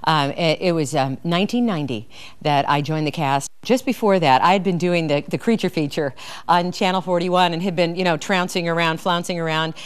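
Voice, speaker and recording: A woman's voice: lowish voice, brisk, clean tone. A middle-aged woman who performs in a comedy troupe, chatting casually in an interview.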